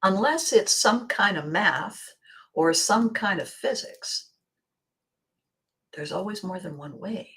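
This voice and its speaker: A woman with a slightly hoarse, scratchy voice hosting a casual motivational monologue, speaking expressively and with emphasis to her viewers. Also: medium pitch, slow pace, long pauses. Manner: expressive, acting out bits of dialogue. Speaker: vlog host.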